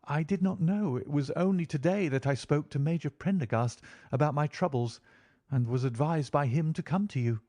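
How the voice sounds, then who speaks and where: A man voicing an anxious, pleading young gentleman. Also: normal speed, no room sound, natural voice. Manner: earnest, pleading, raised pitch. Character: frightened young Englishman, anxious and helpless. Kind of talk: audiobook character reading.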